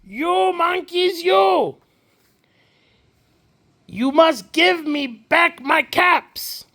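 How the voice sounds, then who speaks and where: A storyteller voicing an exasperated male cap peddler from a picture book, with loud, drawn-out, indignant calls. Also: high voice, big pitch swings, voice pushed up, full character voice. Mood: angry shouting. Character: exasperated male cap peddler, scolding and calling his wares.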